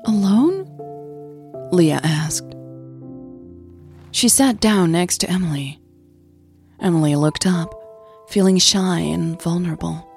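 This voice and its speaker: Slow, lowish-voiced woman narrator. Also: gravelly, faint music behind. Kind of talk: scripted audio drama narration.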